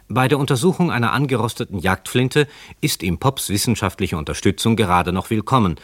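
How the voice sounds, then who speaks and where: A man narrating a scripted crime radio drama in a measured, factual way. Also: sits low, dry recording.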